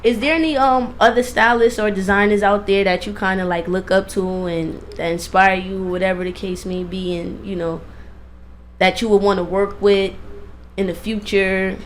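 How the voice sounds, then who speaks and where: A woman hosts a radio interview in a mid-pitched voice. Her talk is informal, slangy and emphatic, and she doubles back on phrases to drive them home. There is audible noise around her. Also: steady tone, normal speed.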